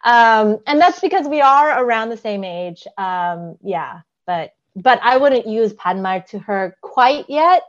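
Woman, podcast co-host, higher voice, careful explaining, frequent fillers, dull lo-fi sound. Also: lively intonation, unhurried, few pauses. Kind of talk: casual explanatory chat.

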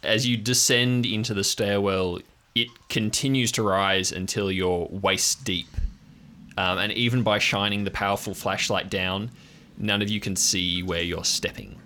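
A man's voice: on the low side, fairly even intonation, a little slow, sharp tone. An easygoing man narrating an improvised tabletop game as game master in an informal way. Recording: clear background noise and ambience.

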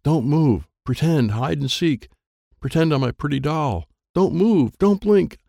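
A man's voice: on the high side, expressive, unhurried, gravelly, warm tone, no room sound, clean studio sound, voice pushed up, exaggerated voice. Guy doing a nervous little kid in a storybook, blunt tiny sentences, voice hops around a lot. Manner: short, blunt, childlike phrases. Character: smallest schoolboy, literal-minded, timid yet determined.

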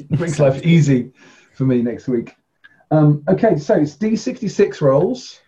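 A man's voice: on the high side, lively intonation, takes it slowly, warm tone, poor audio. A man casually running a tabletop role-playing game and explaining the rules as game master.

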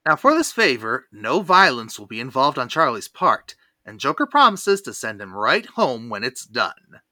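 Animated, bright-voiced podcast host recapping. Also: mid-range voice, moderate pace, dry recording. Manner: animated, theatrical storytelling. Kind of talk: lively cartoon episode recap.

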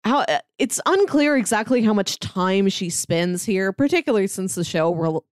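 Woman, podcast co-host, medium pitch, casual review chat, dry recording. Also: expressive, normal speed, no room sound.

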